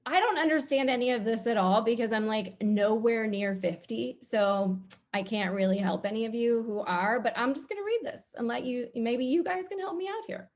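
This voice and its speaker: A woman's voice: on the high side, normal speed, hardly any pauses. A woman talking casually as a panelist, explaining her advice in a chatty way. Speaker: panelist, TV news producer.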